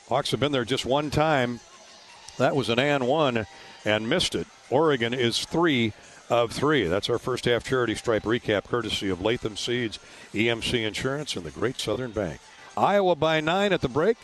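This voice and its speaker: Male sports radio announcer, halting halftime recap. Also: medium pitch. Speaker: sports radio play-by-play host.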